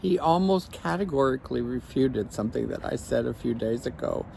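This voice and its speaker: A man, a life coach, speaking slowly and reflectively in an evening vlog recorded outdoors on a phone. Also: medium pitch, expressive, vocal fry, warm tone, a little hiss or hum, poor audio. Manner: slow, measured, reflective.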